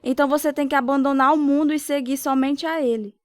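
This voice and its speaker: An actress voices a devout young woman, a caring but direct friend in a radio drama. Her voice is clearly high and recorded very dry, without room sound. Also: steady tone, quick pace, dry recording, close to an everyday voice. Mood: friendly, firm.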